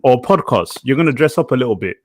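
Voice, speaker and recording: A man's voice: medium pitch, lively intonation, fast talker. A man hosting a podcast in casual chat, agreeing often and putting questions to the others. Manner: conversational, agrees often and puts questions to guests. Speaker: podcast host.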